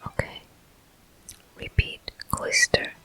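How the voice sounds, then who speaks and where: A person whispering gameplay commentary for an ASMR video, breathy and gentle, in short, unhurried phrases. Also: faint music behind. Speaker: host of a gaming ASMR video.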